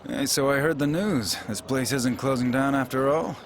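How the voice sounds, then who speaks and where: An actor playing an easygoing, wry drinking buddy in a game cutscene, casual. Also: male voice, medium pitch, moderate pace, lightly acted. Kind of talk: video game cutscene acting. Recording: faint crowd and noise behind.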